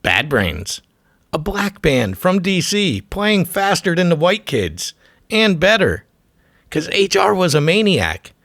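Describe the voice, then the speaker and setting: A man's voice: high voice, big pitch swings, takes it slowly, fairly bright tone, no room sound, studio quality. A man narrating a documentary from a script in short, emphatic, profane phrases.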